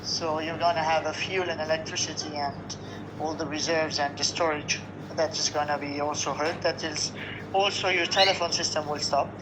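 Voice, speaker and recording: A man's voice: on the high side, fairly even intonation, normal speed. A Lebanese man who runs a restaurant, answering a news interview in an earnest, weary way with short restarting phrases. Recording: dull lo-fi line with noise.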